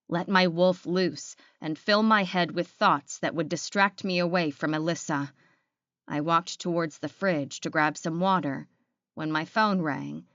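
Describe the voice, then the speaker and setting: Low-pitched woman narrating dramatic fiction. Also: fairly bright tone, dry recording, highs cut off. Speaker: audiobook narrator.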